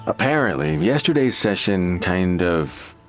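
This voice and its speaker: A man's voice: sits low, very animated, a little slow, fairly bright tone, exaggerated voice. An actor playing a timid, uneasy seaman in a video game, hesitant and avoidant in his manner. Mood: evasive. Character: timid, uneasy seaman afraid of stormy waves. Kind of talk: scripted video game voice acting. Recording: background music under the voice.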